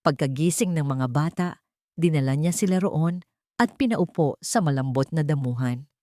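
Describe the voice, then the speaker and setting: A woman with a Filipino accent narrates a romantic audio drama in a measured, emotive storytelling manner. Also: low voice, expressive, dark tone, no room sound.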